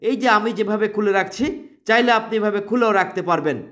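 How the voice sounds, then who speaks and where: A man with a Bangladeshi accent presenting a product in an emphatic promotional sales pitch, repeating his selling phrases. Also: quick pace, fairly bright tone.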